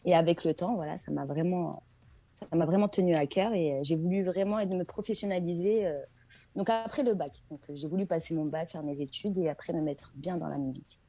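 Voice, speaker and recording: Female interview guest from France, conversational and talkative, slightly low register with a distinctly husky grain. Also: a little fast, warm tone. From France, Paris region. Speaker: guest singer being interviewed. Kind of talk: casual interview chat.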